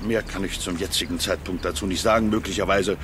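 An actor playing a pompous, self-assured police detective sergeant in a radio play, curt and officious. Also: male voice, sits low, steady tone, fast, lightly acted. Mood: smug, officious. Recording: noisy waterside sound effects behind.

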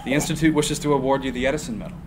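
A man's voice: mid-range voice, steady tone, rapid-fire, background noise, close to an everyday voice. A man reading the part of an earnest young technical writer, polite but pressing.

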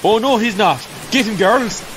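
A man plays a brisk Irish hurling coach in a radio drama. His voice is high for a man, and he gives clipped, repeated orders. Heavy ambient noise surrounds him. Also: expressive. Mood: exasperated. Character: brisk, bossy hurling coach drilling girls' team. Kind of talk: amateur radio drama acting. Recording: very noisy outdoor-like ambience.

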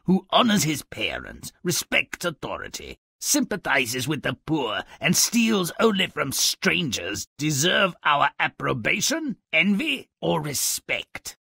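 A gruff, sneering gnome who narrates a twisted video game fairy tale. His raspy voice has a forceful, sharp edge and leaps theatrically up and down. He relishes each word slowly, with pompous, mischievous scorn. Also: mid-range voice, no echo, clean studio sound, exaggerated voice. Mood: mock pompous. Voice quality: raspy, gravelly.

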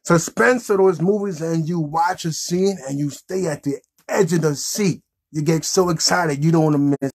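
A man's voice: a little high, moderate pace, few pauses, husky. A man in his thirties chatting casually and off the cuff as the host of a puppet show. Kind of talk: casual improvised chat with a puppet. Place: small indoor room with green backdrop.

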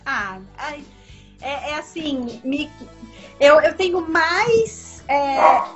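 Animated high-voiced woman on a video call. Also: drawn-out words, soft background music. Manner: animated, emphatic, many fillers and restarts. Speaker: comics editor and guest. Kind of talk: casual video-call interview. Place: home room with bookshelves. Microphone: laptop webcam on video call. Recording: dull lo-fi video call audio.

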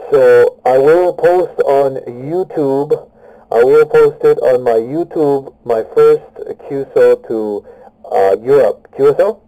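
A man making a radio contact as an operator, speaking deliberately and repeating phrases for clarity. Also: mid-range voice, narrow, tinny audio. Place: beside his radio set.

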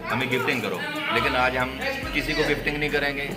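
A man vlogging casually from a phone held at arm's length in a restaurant, lively and chatty, slipping Punjabi words into his talk. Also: mid-range voice, fast talker, over loud music, a loud crowd. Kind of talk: casual vlog chat. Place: restaurant dining room, indoors.

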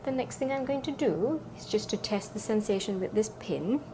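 A woman's voice: medium pitch, animated, moderate pace. A young adult woman working as a clinician in a small clinic room, explaining sensation tests to a patient. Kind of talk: instructional clinical exam talk. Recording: clear background room noise.

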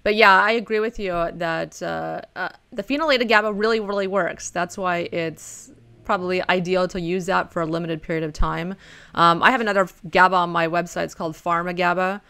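A woman in her forties hosting a podcast over a video call, friendly and casual, laughing easily and filling pauses with um and uh. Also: on the low side, steady tone, creaky, bright, forceful tone, clean tone. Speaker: podcast host. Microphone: close condenser mic.

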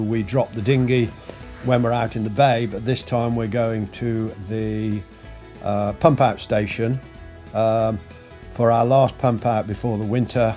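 A man casually narrating his boat's arrival at a marina, relaxed and full of ums and uhs. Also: mid-range voice. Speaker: sailing vlogger. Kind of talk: casual narration over footage.

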